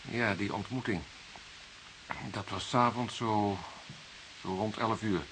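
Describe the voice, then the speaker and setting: A man's voice: fairly low pitch, steady tone, slow. An actor playing a nervous, defensive murder suspect in a Dutch radio drama, hesitant and stammering as he repeats himself. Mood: uneasy, explaining. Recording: hissy radio play recording.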